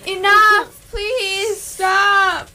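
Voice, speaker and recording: A young woman playing an anguished captive in amateur radio theatre, pleading melodramatically in drawn-out cries. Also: very high-pitched, little pitch movement, very noisy, full character voice.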